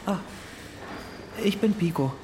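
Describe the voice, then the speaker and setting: A high male voice plays an awkward young outsider in a radio drama. He is an earnest, naive math whiz who speaks hesitantly. Faint noise sits behind him. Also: slow pace, warm tone. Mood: awkward, flustered. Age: young adult. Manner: hesitant, stammering. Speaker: actor.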